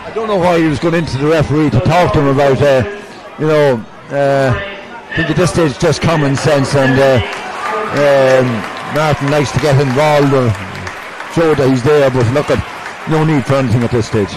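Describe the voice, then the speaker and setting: A man's voice: mid-range voice, fairly even intonation, normal speed. An Irish man giving conversational analysis as co-commentator at a live Gaelic football match. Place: outdoor stadium stand. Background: light crowd noise.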